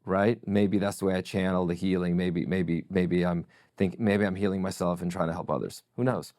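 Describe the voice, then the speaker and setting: Russian-native man, middle-aged, deep voice, dry close mic, thoughtful interview guest. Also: fairly even intonation, darkish tone, dry recording. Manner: reflective, measured storytelling. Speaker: podcast guest. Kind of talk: casual interview chat.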